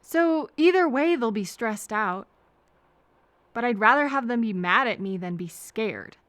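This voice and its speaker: A woman playing a kind, anxious, chatty traveler who gently overthinks and thinks aloud in a hesitant, earnest audio roleplay. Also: a little high, lively intonation, measured pace, dry recording. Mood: anxious, dithering.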